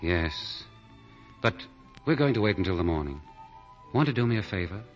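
Romantic fugitive lead: low-pitched, earnest and tender, vintage radio play, dulled archive sound. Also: male voice, lively intonation, normal speed. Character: doomed escaped prisoner, tender, earnest romantic lover. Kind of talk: 1940s radio acting. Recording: muffled 1940 radio broadcast with music.

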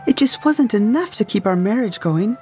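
A woman's voice: medium pitch, expressive, moderate pace, darkish tone, faint music behind, close to an everyday voice. A woman playing a secretive, breezy ex-wife in a dystopian audio drama. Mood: frank, wistful.

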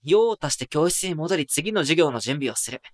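Man acting a wry high school boy narrator. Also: animated, normal speed, noisy background, lightly acted. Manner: dry, musing asides. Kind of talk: first-person anime audio drama narration.

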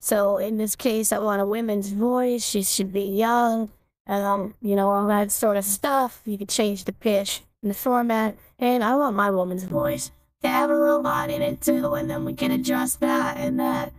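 A man voicing a young woman with a robotic touch through a voice changer, casually demonstrating software. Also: huge pitch swings, moderate pace. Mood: casual, upbeat. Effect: voice changer: female, robotic, pitch-tuned, delay.

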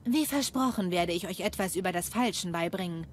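A woman's voice: lowish voice, fairly quick, slight background noise, natural voice. An actress voicing an ambitious young female townswoman trader in a game, speaking earnestly and friendly. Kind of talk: game voice acting.

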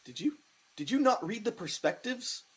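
A woman's voice: fairly high pitch, big pitch swings, normal speed, breathy edge, full character voice. A woman playing a capable, sarcastic dinosaur park keeper in an audio drama. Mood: sardonic.

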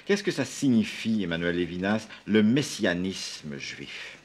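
A man's voice: deep voice, very animated, unhurried. A man from Canada, an interviewer asking short, direct questions.

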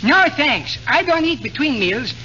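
A man's voice: extremely high pitch, very animated, a little slow, sharp tone, exaggerated voice. A man playing a cheerful Greek immigrant with a Greek accent and muddled grammar, in 1940s radio comedy style. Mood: cheerful, confused. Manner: rambling, garbled grammar and mispronunciations. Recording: noisy, muffled 1940s radio broadcast.

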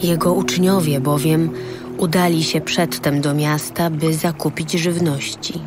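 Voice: medium pitch, steady tone, a little slow. Audio Bible narrator over faint music.

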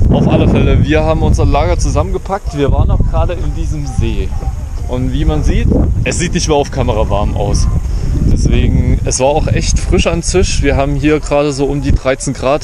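A young man talking casually into an action camera held at arm's length outdoors, relaxed and chatty, explaining as he goes. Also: fairly high pitch. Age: young adult. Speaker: vlogger. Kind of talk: casual travel vlog. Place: outdoors by a lake and road in a forest. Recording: outdoor camera audio with clear background noise.

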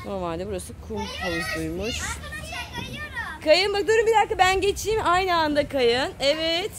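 A woman's voice: high voice, very animated, normal speed, background noise. A woman chatting casually to the camera and calling out to children in a sing-song way, recorded on a handheld phone at an outdoor playground. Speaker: parent filming a family vlog.

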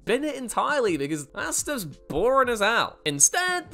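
A man's voice: sits high, sharp tone. A man presenting a scripted list video as jokey, opinionated commentary, with emphatic, sardonic delivery and exaggerated stress. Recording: music behind the voice. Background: background music.

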